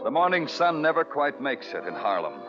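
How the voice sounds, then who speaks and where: An actor in an old radio crime drama playing a brooding, weary police detective who talks tersely and narrates in moody prose. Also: male voice, a little high, very animated, a little fast. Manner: terse, world-weary, poetic. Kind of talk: noir radio narration and dialogue. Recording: muffled old radio recording, music behind.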